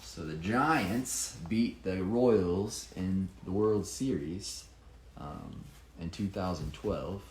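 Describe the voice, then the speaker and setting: A man reading his own children's picture book with expressive emphasis, pausing to explain it casually to a child. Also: sits low. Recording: echoey room with faint background noise.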